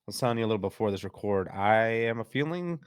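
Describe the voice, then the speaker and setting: Male podcast host, lowish voice, a bit stuffy from a cold, easygoing banter, dry close mic. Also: expressive, clean tone, no echo. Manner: laid-back and chatty, quick to laugh. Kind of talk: casual chat.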